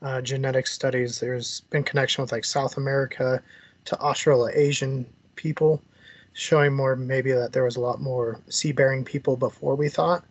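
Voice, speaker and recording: A man's voice: medium pitch, flat delivery, normal speed. A man interviewing in a casual, loosely worded and tentative way.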